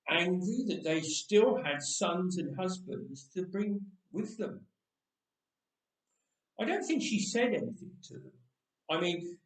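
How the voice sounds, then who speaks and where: A man gives voice to a candid teenage boy in a first-person storybook tale. The telling is matter-of-fact and confiding, on the higher side in pitch. It sounds roomy and set back from the microphone. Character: candid thirteen-year-old boy recalling his own death. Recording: echoey room, distant microphone.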